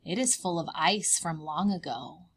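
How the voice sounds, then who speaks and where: A woman voicing a gentle, reassuring mother polar bear in a storybook, patient and soothing. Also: fairly low pitch, measured pace, husky, pitched below the performer's own voice. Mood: gentle reassurance.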